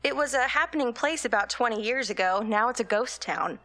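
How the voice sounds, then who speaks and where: A woman's voice: fairly high pitch, lively intonation, brisk, sharp tone. A woman playing an earnest, principled rookie agent in an audio drama, forthright and questioning. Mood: matter-of-fact.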